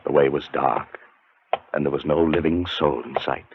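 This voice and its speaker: An actor playing a frightened scholar in a 1940s radio suspense drama, narrating his terror in a dramatic, deliberate way that grows halting with nerves. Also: rumbling low, steady tone, slight background noise. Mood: mounting terror. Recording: muffled 1940s radio broadcast with music.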